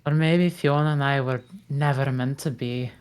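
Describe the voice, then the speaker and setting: A woman reading a gruff male ogre in love at a table read, low and gruff. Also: steady tone, normal speed, a little mellow. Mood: weary.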